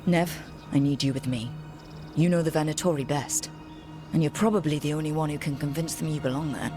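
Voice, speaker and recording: A woman's voice: deep voice, fairly even intonation, a little fast, natural voice. Lady as determined hero leader in a video game, music behind. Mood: steady. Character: resolute fantasy party leader, steady and determined.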